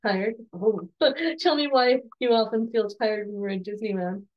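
A woman in her forties, slightly hoarse, gently interviewing her son at home on a video call with soft, encouraging questions and restarts. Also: mid-range voice, a little slow, lo-fi sound. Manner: soft, encouraging, with frequent restarts and light laughs. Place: home room with bookshelves.

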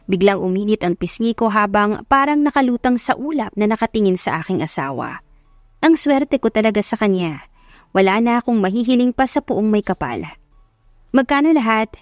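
Woman narrating a love story audiobook, average pitch, totally dry sound. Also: no room sound. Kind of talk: scripted first-person romance narration.

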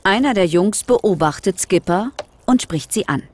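A woman's voice: mid-range voice, animated, unhurried, a little hiss or hum. A woman narrating a scripted children's audio story.

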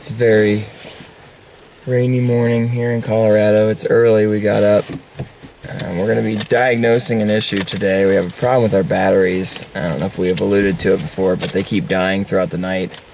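A man's voice: lowish voice, flat delivery, fairly quick, vocal fry, noisy background. A young man talking casually to his camera on a road trip, dry and joking. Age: young adult. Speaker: vlogger. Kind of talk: casual travel vlog. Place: in a pickup truck and outdoors.

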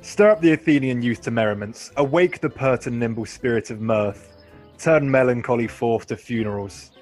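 A man's voice: medium pitch, normal speed, a little mellow, soft background music, natural voice. A man acting a noble, commanding duke in a Shakespearean radio play, stately and declamatory. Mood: commanding, festive.

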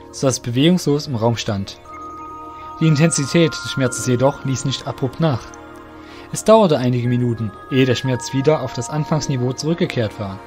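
A man reading scripted narration for an audio drama in a measured storytelling way. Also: mid-range voice, a little mellow.

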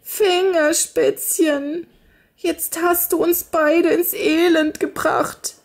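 A woman voicing a fretful old nursemaid who cries out in high, drawn-out laments. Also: little pitch movement, full character voice. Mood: wailing.

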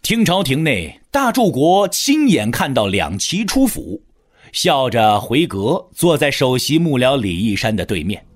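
Highly animated male storyteller. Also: a little slow. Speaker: narrator. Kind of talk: dramatic scripted storytelling narration. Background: faint music.

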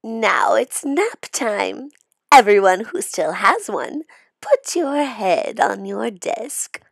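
A gleefully cruel storybook monster schoolteacher, acted out by a woman. She cackles and stretches her words very slowly, with sinister menace and lively swings in pitch. The sound is close and dry. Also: gravelly, breathy edge, no room sound, exaggerated voice. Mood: sly mock sweetness. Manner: cackling, drawn-out sinister delivery. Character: menacing monster teacher, slithering green female, gleefully cruel.

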